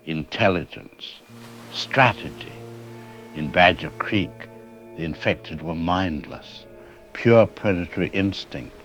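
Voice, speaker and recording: A very deep-voiced man as a haunted scientist calling in to a radio show. He sounds grave and alarmed, halting and then emphatic as he explains. Music runs under his voice. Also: lively intonation, fairly bright tone, full character voice. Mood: intense, analytical. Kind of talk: audio drama interview acting.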